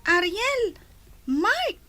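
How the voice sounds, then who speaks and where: A woman's voice: very high voice, big pitch swings, drawn-out words, bright, forceful tone, heavily acted. A woman acting a cheerful young schoolgirl in an Ilocano Filipino radio drama. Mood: cheerful, admiring. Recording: music under the voice.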